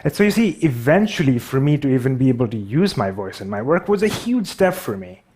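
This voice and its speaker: A man with a Pakistani accent speaks to an audience at a mid-range pitch. His storytelling is personal and self-deprecating, with occasional halting phrases. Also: very animated, darkish tone, slightly rough. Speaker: public speaker.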